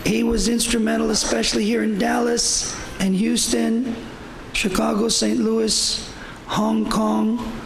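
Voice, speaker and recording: An older man with a hoarse voice giving an informal devotional talk at a temple, rambling and repeating himself. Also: very high-pitched, little pitch movement, unhurried, roomy sound, slight background noise, low-quality recording. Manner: rambling, repetitive, with fillers. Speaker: visiting speaker at a temple. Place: temple hall.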